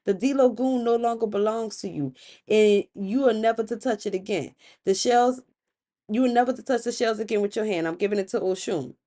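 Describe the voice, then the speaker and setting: A woman voicing a wise, benevolent old father god in a spoken myth, measured and authoritative. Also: medium pitch, warm tone, lightly acted. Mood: firm. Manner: measured, philosophical, decisive.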